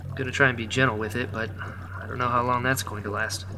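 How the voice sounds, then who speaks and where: A woman playing a gentle, caring rebel who talks softly and stammers when uneasy. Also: very deep voice, steady tone, fast talker, noisy background. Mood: hesitant. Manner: soft, hesitant, stammering. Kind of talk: audio drama acting.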